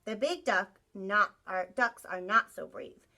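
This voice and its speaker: A woman teacher reading a picture book aloud to young children in an expressive storytelling style, acting out sound effects. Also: mid-range voice, takes it slowly. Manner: animated, acts out sound effects, asks listeners questions.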